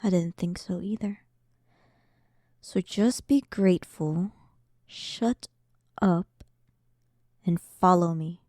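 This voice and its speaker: Woman acting as arrogant demon queen, sarcastic, condescending, dominant, mellow dark tone, unhurried teasing delivery, whispery dips, roleplay audio drama. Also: fairly low pitch, dry recording. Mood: commanding, gloating. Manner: slow, dominant, teasing, condescending, often dropping toward a whisper.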